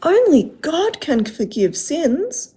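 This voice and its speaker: A woman's voice: high-pitched, big pitch swings, takes it slowly, mellow, voice pushed up, full character voice. A woman voicing self-righteous, important dinner guests in a children's story, scornful and grumbling. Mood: indignant.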